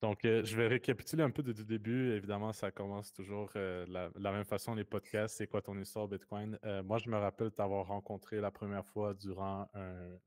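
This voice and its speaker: A man's voice: lowish voice, little pitch movement, brisk, hardly any pauses, a little mellow, no echo. A man hosting a podcast, asking questions in a hesitant way with frequent fillers and restarts. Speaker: podcast host. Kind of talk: casual interview.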